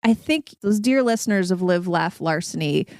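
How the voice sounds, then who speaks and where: Clear-voiced American woman, playful podcaster. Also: lowish voice, expressive, moderate pace, a little mellow, no echo, clean studio sound. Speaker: podcast co-host. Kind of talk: casual podcast chat.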